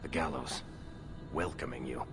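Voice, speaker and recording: A man playing a wry, dramatic storyteller narrating a fantasy game over loud music. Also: extremely low pitch, fairly even intonation, moderate pace. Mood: ominous, wry. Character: male storyteller recounting a refugee voyage, wry and dramatic.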